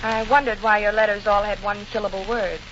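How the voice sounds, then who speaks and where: An actress playing a quick-witted, brazen aunt posing as a wife in a 1940s radio comedy, theatrical and slyly sweet. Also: female voice, medium pitch, little pitch movement, close to an everyday voice. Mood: sugary, sly. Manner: theatrical, sweetly sly endearments. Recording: muffled, noisy 1940 radio broadcast.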